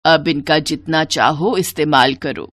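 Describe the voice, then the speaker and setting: A woman narrator acts out a gentle older mother from a moral storybook. Her voice sits low, with a solemn, measured delivery of blessing. The sound is dry. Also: dry recording. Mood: solemn, tender.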